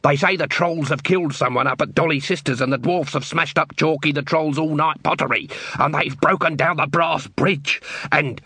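A male reader plays a gossiping, queasy street vendor from a storybook. He rambles evasively in a husky voice, recorded dry and close. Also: a little high, steady tone, normal speed, pushed and bright, no room sound, pitched above the performer's own voice, heavily acted. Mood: evasive, gossipy.

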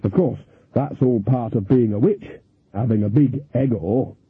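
A man's voice: mid-range voice, unhurried, breathy edge, dry recording. A man voicing a gossipy older woman witch who talks in a chatty, folksy way. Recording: thin phone-like sound.

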